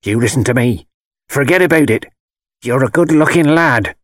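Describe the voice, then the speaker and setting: A man's voice: mid-range voice, animated, moderate pace, no room sound, clean studio sound, voice pushed up, natural voice. A man voicing a malicious, wheedling old woman who tells fortunes, with rustic dialect speech and ominous insistence, in an audiobook. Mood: curt, dismissive. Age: older. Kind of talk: dramatised audiobook character voice.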